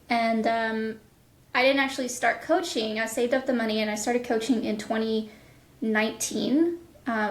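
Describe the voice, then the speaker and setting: A woman in her thirties answering casually as an interview guest, explaining things in a thoughtful, halting way. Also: fairly high pitch. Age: 30s. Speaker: guest, voice actor. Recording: echoey, roomy sound.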